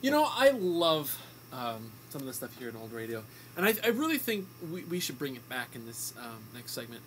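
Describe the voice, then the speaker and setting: A man's voice: mid-range voice, very animated, brisk, fairly bright tone, a little hiss or hum, low-quality recording. A man co-hosting an amateur radio show in a casual, bantering style.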